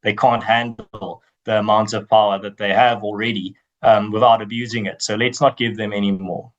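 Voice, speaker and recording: A man's voice: lowish voice, little pitch movement, normal speed, lo-fi sound. A young man with a South African accent, a native Afrikaans speaker, arguing his case assertively as a podcast guest, often restarting phrases. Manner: assertive, argumentative, many restarts. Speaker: guest, advocacy group spokesman. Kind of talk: podcast interview.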